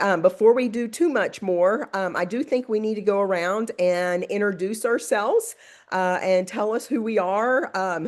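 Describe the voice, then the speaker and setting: A woman hosting a casual online call, stuffed up with a cold, talking hesitantly with many pauses. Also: medium pitch. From Oklahoma. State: stuffed-up nose. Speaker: call host.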